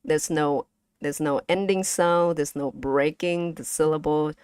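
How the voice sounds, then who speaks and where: Young Vietnamese woman, streamer teaching her chat; low and unhurried, halting with fillers, dry close mic. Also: steady tone, a little mellow, smooth, no echo. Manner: halting, slow and careful, with frequent fillers. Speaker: vtuber streamer teaching language. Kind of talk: casual language lesson to chat.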